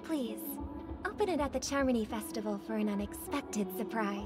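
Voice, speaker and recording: An actress playing a polite young superstar singer in a video game, speaking in a gracious, formal, poised way. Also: female voice, on the high side, lively intonation, normal speed, lightly acted. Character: polite young female superstar singer, secretly a mischievous impostor. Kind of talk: scripted game voice acting. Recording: loud game music behind.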